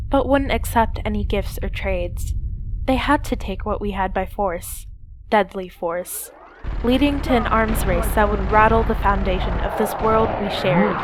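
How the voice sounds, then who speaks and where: A woman voicing a cynical pony survivor, narrating a post-apocalyptic radio play in a measured, dramatic first-person style. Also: mid-range voice, noisy background, close to an everyday voice. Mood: grave. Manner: measured, dramatic storytelling with rhetorical questions. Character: cynical female pony survivor raised in an underground bunker. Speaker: narrator and main character.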